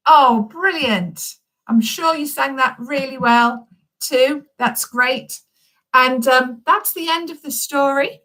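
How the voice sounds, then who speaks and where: A woman's voice: sits high, slow, pushed and bright, rough recording. A woman reading a children's story expressively, speaking directly and encouragingly to young listeners.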